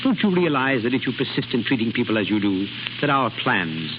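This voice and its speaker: An actor playing a suave, coolly practical gentleman who speaks politely and with control, in a 1930s radio drama. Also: mid-range voice, sing-song, lightly acted. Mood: cool, admonishing. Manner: polite, measured, admonishing. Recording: hissy, dull 1930s radio recording.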